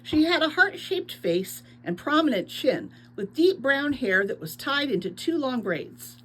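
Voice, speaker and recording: A woman's voice: high-pitched, very animated, unhurried, fairly bright tone, low-quality recording. A woman reading a book aloud expressively as a teacher, adding short explanatory asides.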